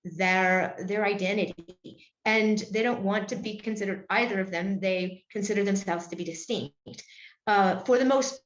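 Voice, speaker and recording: A woman speaks slowly and carefully, filling her pauses with "uh" and "um" and often starting her sentences over. Her voice comes through a dull, lo-fi call that sounds like a roomy space. Her pitch sits in a middle range. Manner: hesitant, heavy with fillers and restarts. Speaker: Benedictine nun, interview guest. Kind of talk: careful conversational answers.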